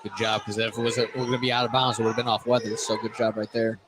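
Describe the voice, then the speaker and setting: A man's voice: on the low side, little pitch movement, fast talker. A man giving live color commentary on a basketball game from courtside in a loose, conversational way. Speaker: radio basketball color analyst. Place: courtside in a school gym. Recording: noisy live gym broadcast.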